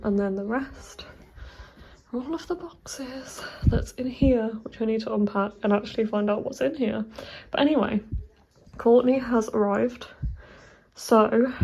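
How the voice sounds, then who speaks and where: A woman vlogging casually in a room of her flat, chatty and rambling with giggly asides, a little stuffy from a cold. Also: on the high side, gravelly, echoey room, far from the mic, slight background noise. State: slightly congested from a cold. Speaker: book vlogger. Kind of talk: casual vlog chat.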